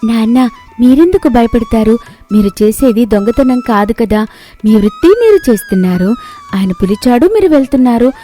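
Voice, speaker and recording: A fearless little village girl of ten from a storybook, voiced by a woman. She is earnest and reassuring, high in pitch, with soft music underneath. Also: lively intonation, normal speed, lightly acted. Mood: calm, brave.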